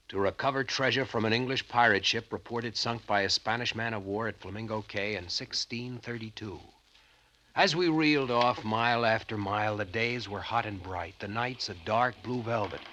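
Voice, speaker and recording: A man's voice: on the low side, pushed and bright. A man playing an earnest American ex-Navy treasure hunter, telling his tale in 1940s radio drama style. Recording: faintly noisy vintage radio recording.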